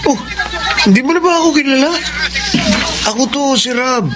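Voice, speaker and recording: An actor with a Filipino accent playing a flirty, pushy bar customer who teases and wheedles in a radio drama. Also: male voice, very high voice, animated, normal speed. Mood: teasing, flirty. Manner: teasing, wheedling. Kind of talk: Tagalog radio drama acting. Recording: loud music and crowd behind.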